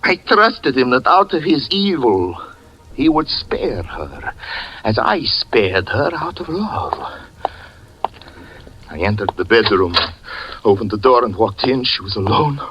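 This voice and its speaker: A haunted grieving magician. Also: male voice, mid-range voice, sing-song, highs cut off, noisy background. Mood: brooding grief. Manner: slow, brooding, breaking into anguished cries. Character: grief-stricken male magician confessing a tragedy. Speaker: radio drama actor. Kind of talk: 1940s radio acting.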